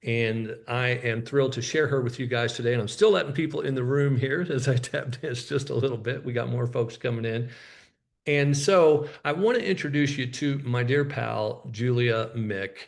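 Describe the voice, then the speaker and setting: A man hosts a webinar and introduces his guest from an event room. He talks at an ordinary pitch for a man, in a loose, hesitant way. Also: normal speed, vocal fry. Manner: hesitant, loose, with fillers and restarts. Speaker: webinar host. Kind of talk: casual introduction.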